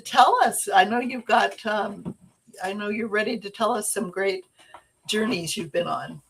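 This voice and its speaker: A woman hosts a podcast in a husky voice, casual and friendly. She keeps the talk moving with short replies. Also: medium pitch, measured pace, low-quality recording. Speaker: podcast host.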